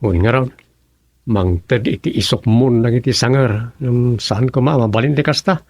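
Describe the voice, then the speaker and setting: A man's voice: medium pitch, moderate pace, darkish tone, dry recording, close to an everyday voice. A man acting a grumbling, ambitious townsman in a radio comedy drama. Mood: complaining. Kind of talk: radio serial comedy drama dialogue in Ilocano.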